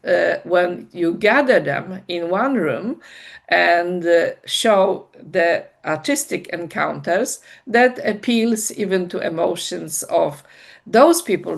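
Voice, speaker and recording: Low, creaky-voiced woman explaining deliberately. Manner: deliberate, halting, searching for words. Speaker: cultural director, interview guest. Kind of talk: reflective explanatory interview answers.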